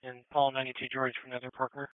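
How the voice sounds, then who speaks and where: A male officer sends clipped radio messages. His voice is average in pitch and crisp, coming through a thin, dry narrowband scanner feed from a two-way radio. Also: monotone, rapid-fire, no room sound. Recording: tinny narrowband police radio scanner audio.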